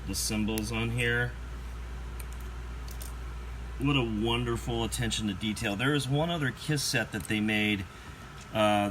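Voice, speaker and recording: A man's voice: lowish voice, a bit bright. A man giving a casual, rambling unboxing commentary with wry asides, as a collector showing off a toy. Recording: noisy homemade video recording.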